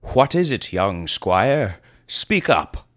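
A man's voice: fairly low pitch, sing-song, slow pace, dry recording, clean studio sound, pitched above the performer's own voice. A man voicing a wise old fellowship leader, lordly, formal and earnest, in a comic fantasy story. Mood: commanding. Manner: formal, earnest, mock-noble.

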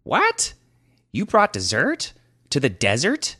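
Man putting on a cheeky sneering thief, mocking and rough, lifted pitch, dry sound. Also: huge pitch swings, slow pace, sharp tone, dry recording, full character voice. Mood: furious. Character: scruffy cheeky male thief.

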